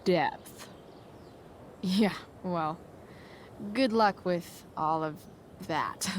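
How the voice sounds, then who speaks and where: A woman's voice: lowish voice, extremely slow, slight background noise. A woman playing a guarded, sarcastic teenage girl in a video game, with an American accent and a dry, hesitant, slangy delivery. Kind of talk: naturalistic video game acting.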